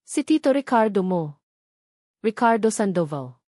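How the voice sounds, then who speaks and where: A woman voicing a scheming businessman in his fifties in a story, calm, measured and smoothly sympathetic. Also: medium pitch, dry recording, clean studio sound. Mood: soothing, sympathetic.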